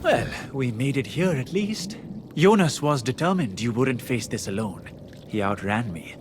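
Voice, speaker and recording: A man's voice: medium pitch, animated, moderate pace, lightly acted. Male actor as fantasy game warden, anxious, self-doubting, loyal, mid-range voice, noisy ambience. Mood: worried. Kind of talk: conversational video game dialogue. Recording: clear background ambient noise.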